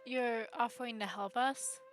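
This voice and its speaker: A woman's voice: a little high, fairly even intonation, normal speed, soft background music. A woman streaming a game live, commenting casually and reading some dialogue aloud.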